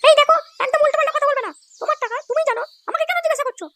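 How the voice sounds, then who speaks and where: An actor voicing a sharp-tongued, threatening housewife as a woman in a cartoon. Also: very high-pitched, racing, a little hiss or hum. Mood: defiant, threatening. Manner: scolding, defiant. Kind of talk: crude comedy cartoon dub.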